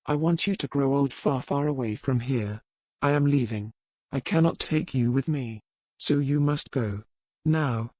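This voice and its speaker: Woman as a low-pitched, resolute, weary man. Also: unhurried, darkish tone, no echo. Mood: urgent, protective. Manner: clipped, earnest, formal phrasing. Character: weary, resolute, protective Englishman held on plantation.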